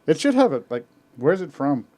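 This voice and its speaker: A man's voice: on the high side, sing-song, moderate pace, mellow. A man in a casual podcast chat, rambling through jokey tales and often restarting his sentences. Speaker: podcast co-host.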